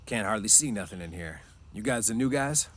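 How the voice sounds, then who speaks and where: A calm, dignified mule driver, read by a man, his low voice gentle and unhurried. He speaks quietly, with quiet authority in plain ranch talk.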